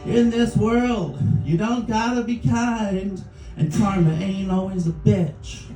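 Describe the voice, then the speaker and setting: A man's voice: high-pitched, slow pace, mellow, distant mic. A man in his thirties, a musical comedian, singing jokey story songs with guitar and chatting with the crowd between them. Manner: jokey sung storytelling, chuckling between lines. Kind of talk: comic songs with guitar and stage banter. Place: small bar venue stage, live audience. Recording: roomy live club recording from afar, with guitar.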